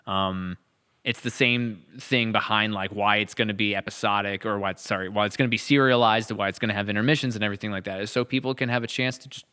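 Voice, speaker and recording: A man's voice: fairly low pitch, fairly even intonation, quick pace, sharp tone, clean tone. A man co-hosting a podcast, chatting casually with playful asides. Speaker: podcast co-host, production creator. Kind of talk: casual conversational podcast chat.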